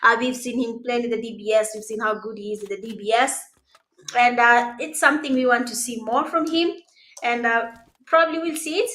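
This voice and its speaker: A Kenyan woman whose first language is Swahili, giving live esports commentary in a conversational, hesitant way with pauses. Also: on the high side, fairly even intonation, a bit bright. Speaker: esports caster. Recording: roomy, echoing sound.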